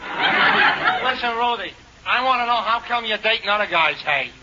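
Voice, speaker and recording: Young jealous New beau, high voice, radio comedy, dull noisy recording. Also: male voice, animated, moderate pace. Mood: jealous, pleading. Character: jealous, whiny young Brooklyn boyfriend on the phone. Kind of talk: 1940s radio comedy acting. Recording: muffled old radio recording, loud noise.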